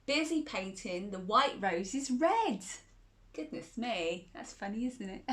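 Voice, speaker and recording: A woman's voice: fairly high pitch, big pitch swings, takes it slowly, a bit bright. A woman reading a children's picture book aloud, chuckling often and asking the listener questions. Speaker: children's storyteller.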